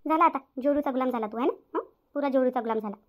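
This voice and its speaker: A woman's voice: sits high, very fast, close to an everyday voice. A woman voicing a stern, self-pitying middle-aged mother-in-law in a cartoon family drama, nagging with repeated questions in a Vidarbha Marathi accent. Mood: scolding, bitter. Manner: nagging, repetitive rhetorical questions. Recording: dull lo-fi audio.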